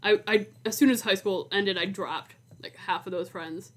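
A woman's voice: mid-range voice, moderate pace, fairly bright tone. A woman in her twenties co-hosting a podcast in a casual chat, talking informally with lots of "like". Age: 20s. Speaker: podcast co-host.

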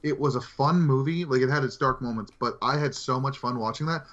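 A man's voice: mid-range voice, a little fast, a bit bright. A man speaking as a podcast panelist in a casual discussion of movies. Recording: slightly dull lo-fi mic.

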